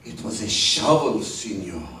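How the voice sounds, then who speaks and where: A man's voice: fairly low pitch, animated. A man playing a genial Mexican townsman in a radio western, telling tales in accented broken English. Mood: excited, mysterious. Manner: broken English, chuckling, drawn-out exclamations. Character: genial Mexican townsman, excitable storyteller fond of women. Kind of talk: old-time radio western acting. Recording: very echoey, distant old radio recording.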